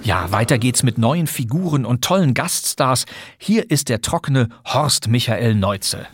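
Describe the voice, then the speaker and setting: A man's voice: mid-range voice, animated, measured pace, slightly rough. A man hosting a podcast, presenting classic radio plays from a script in a chatty, wry way. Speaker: podcast host.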